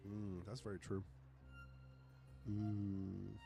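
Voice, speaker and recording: Male game streamer, soft dark timbre, casual reactions and chat, light music underneath. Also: on the low side, completely flat delivery, extremely slow, creaky. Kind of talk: casual livestream commentary.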